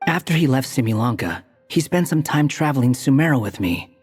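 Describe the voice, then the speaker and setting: A man voicing an aloof, sharp-tongued young wanderer in a video game, dry and curt. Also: medium pitch, expressive, brisk, close to an everyday voice. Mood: serious. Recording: echoey game audio with music.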